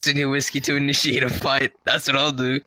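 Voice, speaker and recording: A man with a South African accent, whose first language is Afrikaans, co-hosting a podcast in casual chat, teasing and quick to argue back. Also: medium pitch, level tone, sharp tone. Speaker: podcast co-host.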